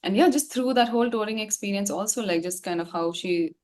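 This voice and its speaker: A woman's voice: medium pitch, brisk, a little mellow. A woman chatting casually as an interview guest, effusive and full of slang. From India. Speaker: singer and musician, interview guest. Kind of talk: casual chat.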